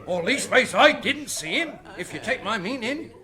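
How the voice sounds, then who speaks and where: An actor playing an excitable, tipsy rustic tavern regular in a radio drama, boisterous and rambling, with a rural English accent. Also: male voice, very high voice, normal speed, exaggerated voice. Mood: indignant, amazed. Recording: tavern crowd faintly behind.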